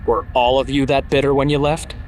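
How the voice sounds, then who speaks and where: A man's voice: medium pitch, level tone, a little fast, a little hiss or hum, close to an everyday voice, low-quality recording. A man playing a young masked vigilante in an audio drama, terse, probing and skeptical. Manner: terse, questioning.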